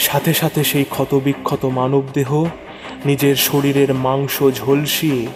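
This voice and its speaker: A man narrating a first-person horror story in a suspenseful, theatrical way, repeating phrases for dramatic effect. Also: medium pitch. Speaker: narrator of horror audio stories. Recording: long reverb over prominent music. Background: music.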